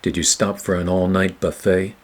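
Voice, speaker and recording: Noir radio play detective, male actor, very low and slightly husky, weary, sardonic, measured. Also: little pitch movement. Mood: wry, measured. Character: weary, wry hard-boiled male private eye narrating his case.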